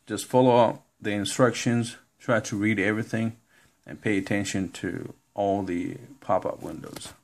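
A man giving an informal step-by-step computer tutorial in a halting way, reading out text as he goes. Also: on the low side, steady tone, vocal fry. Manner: halting, instructional, reads out on-screen text. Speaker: tutorial presenter. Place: indoor room, filming a laptop screen.

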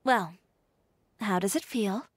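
A woman's voice: medium pitch, slow pace. A woman playing a proud, composed young fashion designer in a video game, with dry, sardonic delivery. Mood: calm. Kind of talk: English video game voice acting.

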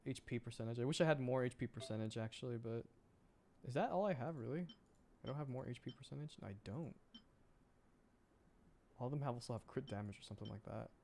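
A man's voice: mid-range voice, fast talker, creaky, pauses often, a little mellow. A man chatting casually on a game livestream, muttering and thinking aloud to himself as he plays. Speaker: VTuber game streamer. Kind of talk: casual livestream gameplay chat.

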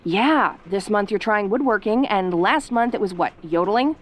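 A woman playing a sassy, easily flustered young tomboy girlfriend, sarcastic and haltingly defensive, in an audio roleplay. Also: medium pitch, expressive, natural voice. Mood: dry sarcasm.

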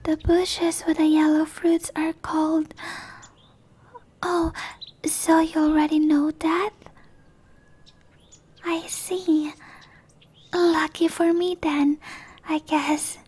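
Woman acting a very high, whispery shy dryad. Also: flat delivery. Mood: shy, apologetic. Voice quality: soft, breathy whisper. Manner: hesitant, stammering, apologetic, slow. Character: shy, gentle dryad monster woman bound to a tree. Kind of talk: ASMR roleplay.

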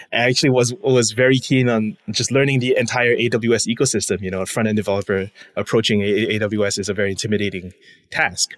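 A man from Singapore in his thirties, talking as a podcast guest in a reflective, casual way with light laughs. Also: fairly low pitch. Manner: reflective, laughs between points. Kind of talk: casual interview chat.

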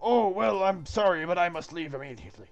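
A man voicing an earnest, flustered young knight who protests politely and haltingly in a comic read-through. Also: high-pitched, lively intonation, lo-fi sound, faint music behind.

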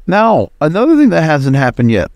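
A man's voice: on the high side, wildly swooping pitch, moderate pace, a little mellow, dry recording. Man hosting a solo podcast, dry sound. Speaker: podcast host. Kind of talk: solo podcast commentary, conversational.

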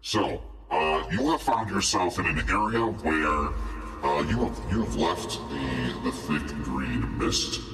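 Guy dming a game thru a deep voice changer, super low n sharp, halting, sounds far off and echoey. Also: expressive, soft background music. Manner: halting, drawn-out narration. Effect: deep voice-changer filter. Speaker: dungeon master. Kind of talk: narrating a tabletop game on a livestream. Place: small room at home, indoors. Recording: echoing, distant voice-changer sound.

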